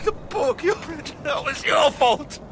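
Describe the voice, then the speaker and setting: A man's voice: very high-pitched, expressive, a little slow, heavy background noise. An actor in a radio drama playing a despairing, haunted male survivor of an alien invasion, with an anguished, stammering, theatrical delivery. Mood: defiant, desperate. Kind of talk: dramatic radio play acting.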